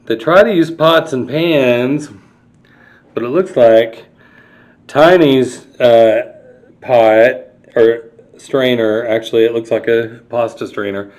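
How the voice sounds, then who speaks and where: A man's voice: medium pitch, a little mellow. A man reading a picture book to young children, explaining the pictures and asking them questions as he goes. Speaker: children's storytime reader. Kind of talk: storybook read-aloud with explanatory asides to children.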